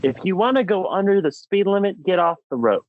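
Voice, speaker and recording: A man's voice: high voice, expressive, a little fast, no room sound, phone-quality sound. A young American man co-hosting a podcast, delivering a comedic rant in an exasperated, emphatic, theatrical manner. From United States. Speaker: podcast co-host.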